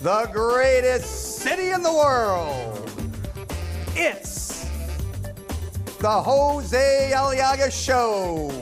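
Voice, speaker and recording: Woman announcer, lively drawn-out intro. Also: fairly high pitch. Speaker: show announcer. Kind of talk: scripted show introduction. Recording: music playing under the voice.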